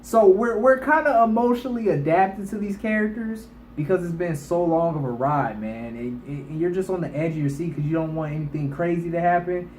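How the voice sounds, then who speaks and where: A man's voice: fairly high pitch, fairly quick, hardly any pauses, a little mellow. A man giving a casual, emphatic movie review to online viewers, speaking colloquially and repeating himself to drive points home. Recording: echoey room with faint background noise.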